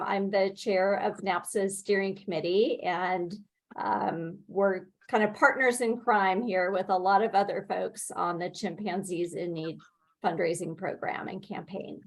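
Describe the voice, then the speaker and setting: A woman's voice: mid-range voice, fairly even intonation, unhurried, poor audio. Woman introducing herself on a call. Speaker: nonprofit president. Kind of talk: self-introduction on a video call. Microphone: video call.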